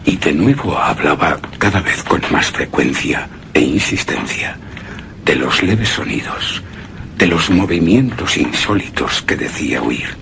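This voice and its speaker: An actor playing a haunted, anguished husband who narrates a gothic horror tale in a radio drama, with heavy dramatic pauses. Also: male voice, sits low, lively intonation, vocal fry, sharp tone, a little hiss or hum, exaggerated voice. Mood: grave, brooding. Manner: slow, brooding, dramatic delivery with heavy pauses.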